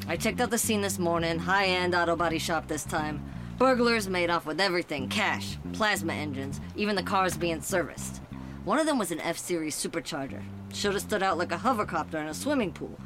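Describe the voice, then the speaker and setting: A woman playing a skeptical, brisk police detective in a scripted noir audio drama, deadpan and teasing. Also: fairly low pitch, over music, natural voice. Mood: matter-of-fact. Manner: deadpan, matter-of-fact, teasing.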